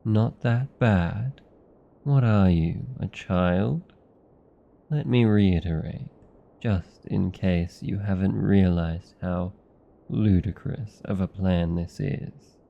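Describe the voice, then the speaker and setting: A man with a British accent playing a haughty, weary vampire lover in an intimate ASMR roleplay, speaking in a deliberate, theatrical, condescendingly affectionate way. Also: low-pitched, creaky, muffled recording, heavily acted. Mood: exasperated, scolding. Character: possessive, weary male vampire lover, haughty toward mortals.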